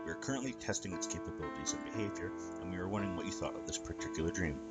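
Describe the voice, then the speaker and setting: A man's voice: sits low, expressive, over loud music. Man voicing a formal, regal female pony ruler. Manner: formal, measured, critical.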